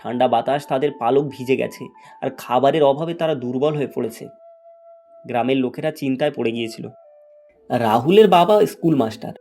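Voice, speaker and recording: A man's voice: medium pitch, fairly quick, a little mellow, soft background music. A man reading a scripted fairy tale as its storyteller. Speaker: storyteller, narrator.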